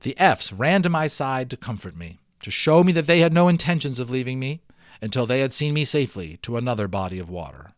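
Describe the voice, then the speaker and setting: A man reading a children's story aloud dramatically, with offhand, chatty asides in an expressive manner. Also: mid-range voice, fairly quick, no room sound, clean studio sound. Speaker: amateur audiobook reader.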